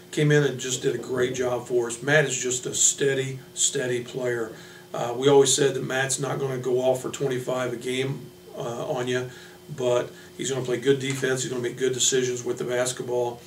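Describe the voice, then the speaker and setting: Flat-toned American coach in an echoey room. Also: male voice, mid-range voice. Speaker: head basketball coach. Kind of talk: informal team introduction speech. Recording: echoey room from a distance, hum, noise.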